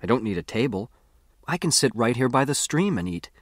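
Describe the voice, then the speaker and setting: A man's voice: mid-range voice, big pitch swings, brisk, no room sound, studio quality. A man with an American accent narrates a first-person story expressively, like a boy telling his own adventure in a conversational way. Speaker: audiobook narrator.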